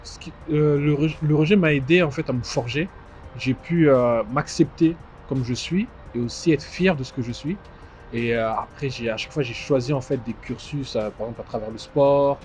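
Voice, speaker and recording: A man in his twenties who grew up in Strasbourg, giving conversational interview answers with frequent mid-phrase pauses. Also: mid-range voice, darkish tone. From born in DR Congo, grew up in Strasbourg, France. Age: 20s. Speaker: interview guest, fashion house founder. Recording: dull muffled sound with music behind. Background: music.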